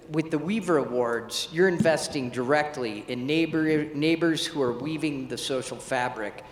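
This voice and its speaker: A man moderating a panel discussion on stage, asking gracious, measured questions with some fillers. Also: medium pitch. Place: large event hall on stage. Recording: echoey hall, faint room noise.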